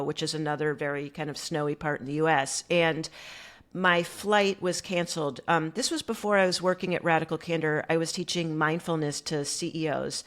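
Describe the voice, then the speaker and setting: Lively, lower-voiced American woman. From United States. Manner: animated, many fillers like "like" and "you know". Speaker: guest coach. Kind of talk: conversational interview storytelling.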